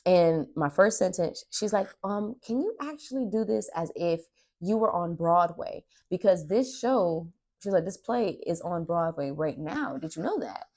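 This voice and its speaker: A young woman with a low-pitched voice hosts a casual, chatty vlog. She tells her story with dramatic emphasis, acting out bits of dialogue as she goes. Her delivery is animated and conversational. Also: a little slow. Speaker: podcast host and aspiring actress.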